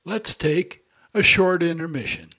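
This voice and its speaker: Husky-voiced man presenting old radio. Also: a little high, animated, a little slow, pushed and bright. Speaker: podcast host presenting old radio shows. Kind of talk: scripted intermission narration.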